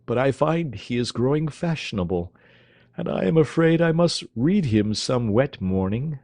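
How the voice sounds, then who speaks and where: A man voicing a languid, lazy young gentleman who drawls and talks in an affected manner. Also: mid-range voice, lively intonation, normal speed, no echo, voice pushed up, natural voice.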